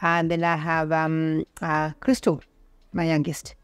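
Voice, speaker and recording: A woman's voice: low voice, completely flat delivery, unhurried, smooth, no room sound. Kenyan woman chatting, dry close sound. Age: middle-aged. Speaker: podcast guest. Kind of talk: conversational interview, telling own life story.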